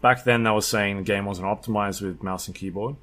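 A man's voice: fairly low pitch, steady tone, brisk, fairly bright tone. A man talking casually as a podcast co-host, explaining his experience with video games in a conversational way. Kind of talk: casual unscripted chat.

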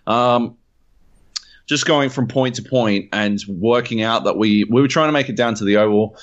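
An Australian man, mid-pitched, telling a story on a podcast in a loose, animated way, swearing freely and pausing on fillers. The audio is slightly dull and lo-fi. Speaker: podcast co-host. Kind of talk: casual podcast chat.

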